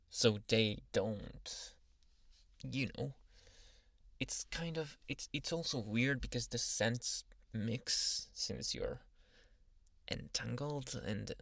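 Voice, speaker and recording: A man playing a protective young adult werewolf suitor in an intimate ASMR boyfriend roleplay, speaking softly and haltingly, sometimes in a whisper, close to the microphone. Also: medium pitch, vocal fry, dry recording, heavily acted. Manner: soft, hesitant, halting, intimate delivery drifting into whispers.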